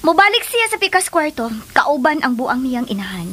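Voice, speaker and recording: A woman playing a jealous, demanding, vindictive lover in a melodramatic radio drama, with a forceful, imperious manner. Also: sits high, big pitch swings, normal speed. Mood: bitter, demanding. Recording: music under the voice.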